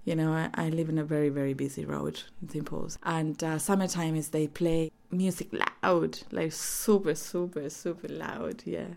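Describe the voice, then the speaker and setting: A woman in her forties from Chile, speaking English with a Chilean accent as a Spanish native speaker, recalling her life in a hesitant, halting way. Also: deep voice, flat delivery, vocal fry, a little mellow. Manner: hesitant, many fillers and restarts. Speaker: interviewee. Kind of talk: personal reminiscence.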